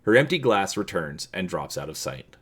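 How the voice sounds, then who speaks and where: A man reading a story aloud as a narrator, in an expressive, theatrical manner. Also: low voice, normal speed, breathy edge. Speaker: reader and channel host.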